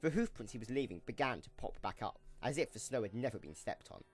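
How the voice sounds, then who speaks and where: A man's voice: mid-range voice, animated, fast, soft background music. A man narrating a scripted audio drama in a dramatic storytelling style.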